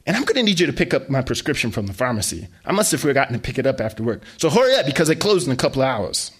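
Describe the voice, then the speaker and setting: A man voicing a domineering middle-aged mother who scolds and threatens her son, with a husky voice. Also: medium pitch, sing-song, very fast, voice pushed up. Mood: stern.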